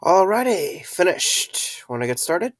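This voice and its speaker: An actor playing a young night guard, sarcastic but caring and a little weary, in an audio drama. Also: male voice, high voice, sing-song, unhurried. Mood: easygoing.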